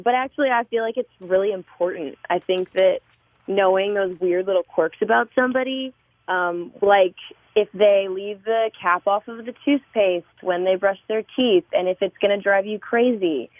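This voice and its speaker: A woman guest on a radio show talks openly about her music in a warm, heart-on-her-sleeve way. Her voice comes through a thin, phone-like remote line. It sounds dry and close, with no room echo. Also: mid-range voice. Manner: warm, effusive, emotional, laughs often. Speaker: singer-songwriter guest. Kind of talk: casual interview chat.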